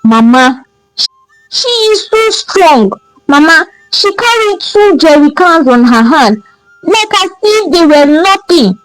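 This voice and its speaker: Lady doing an insecure nigerian guy whining and complaining, high voice, swoops around, folktale. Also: big pitch swings, slow pace, no room sound, soft background music, heavily acted, poor audio. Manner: plaintive protesting. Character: insecure, complaining Nigerian bachelor, worried about mockery.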